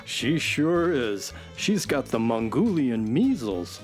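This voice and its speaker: A man's voice: medium pitch, very animated, slow, darkish tone, faint music behind. A man playing a loyal, worried talking space horse in a children's radio serial, earnest and folksy. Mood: concerned.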